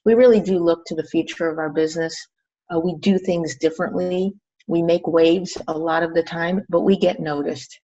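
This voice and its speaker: A woman chief executive giving a polished business pitch on a recorded call, leaning on key phrases for emphasis. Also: steady tone, normal speed, dark tone, a little hiss or hum. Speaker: restaurant company chief executive. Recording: dull band-limited conference call audio.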